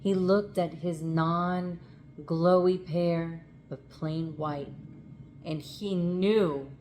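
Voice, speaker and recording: A woman reading a picture book aloud in a dramatic children's narration, expressive and stretching words for suspense. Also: fairly low pitch, drawn-out words, slight background noise.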